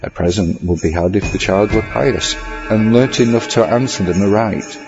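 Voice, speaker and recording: A man reading a scripted horror story as narrator, in an ornate, measured storytelling manner. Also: lowish voice. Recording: slightly dull audio over music. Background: music.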